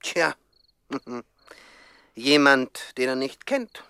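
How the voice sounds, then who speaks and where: An actor playing a cold, scheming gang boss in a radio play, smug and commanding. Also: male voice, medium pitch, big pitch swings, extremely slow, pushed and bright, smooth, no room sound, full character voice. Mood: sly. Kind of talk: 1960s German radio play acting.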